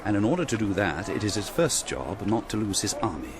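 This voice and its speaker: A man's voice: fairly low pitch, very animated, fairly quick. A man playing a brusque, aristocratic commander-in-chief in a historical radio drama, curt and sardonic, amid outdoor noise. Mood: sardonic, commanding. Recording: noisy outdoor battlefield sound effects.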